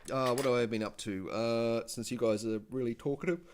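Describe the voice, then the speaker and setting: A man with a New Zealand accent hosting a casual livestream, talking in a halting, rambling way with many fillers and restarts into a desk microphone. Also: medium pitch, fairly even intonation, a little hiss or hum. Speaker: livestream host.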